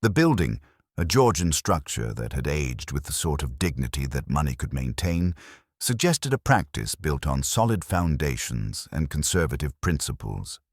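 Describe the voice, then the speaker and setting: A man narrating a scripted mystery story in a measured, literary manner. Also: a bass voice, big pitch swings, slightly rough, no echo, clean studio sound.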